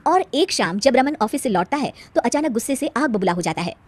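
A woman's voice: fairly high pitch, expressive, a little fast. A woman narrating a scripted children's story as a storyteller.

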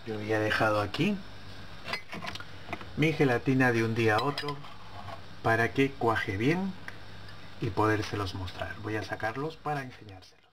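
A man's voice: on the low side, moderate pace, long pauses. Guy cooking in his kitchen, chatty, walks you thru each step, bit of hiss behind. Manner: chatty, talks to viewers while working. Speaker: cooking channel host. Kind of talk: casual cooking tutorial. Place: home kitchen.

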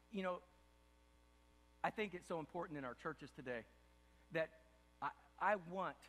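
An older man preaching a sermon as pastor from a church stage, impassioned, repeating himself and swinging from loud to hushed. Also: on the high side. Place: large dark church stage before an audience. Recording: long hall reverb of a big room.

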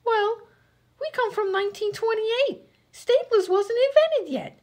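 A woman's voice: extremely high pitch, expressive, unhurried. A woman playing a dim, chatty 1920s vaudeville comedienne, slangy and easily indignant, in an old-time radio comedy sketch. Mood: naive, defensive. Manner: scatterbrained, slangy, literal-minded.

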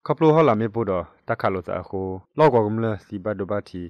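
A man with a fairly low voice reads a scripted news report. The recording is slightly dull and band-limited, with a dry sound. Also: lively intonation, quick pace, dry recording.

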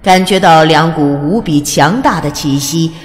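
A woman's voice: low voice, moderate pace, faint music behind. A woman reading a fantasy audiobook as narrator, in a dramatic scripted storytelling style, with reverb on her voice.

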